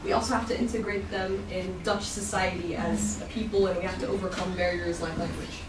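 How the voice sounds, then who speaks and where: A woman's voice: fairly low pitch, steady tone, fast. A woman acting as an interpreter, relaying what is said to a group in short spoken passages. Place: echoey room at a group event. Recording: echoey, distant mic, loud noise, clicks.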